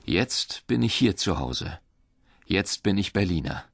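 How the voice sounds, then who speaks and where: A man's voice: low voice, very animated, a little slow, vocal fry. A man reading a scripted first-person voice-over for a documentary.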